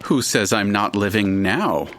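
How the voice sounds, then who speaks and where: An actor in an audio drama playing a haughty, fussy, all-seeing narrator spirit with precise, florid and slightly hesitant delivery. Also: male voice, fairly low pitch, unhurried, a bit bright, slight background noise. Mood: knowing, aloof. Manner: pompous, precise, faintly hesitant, florid wording. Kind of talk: full-cast audio drama acting.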